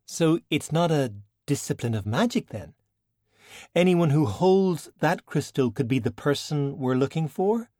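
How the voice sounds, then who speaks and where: A man's voice: a little high, expressive, a little slow, darkish tone, dry recording, clean studio sound, pitched above the performer's own voice. Man doing a plain-spoken, wry young woman. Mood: frank, questioning.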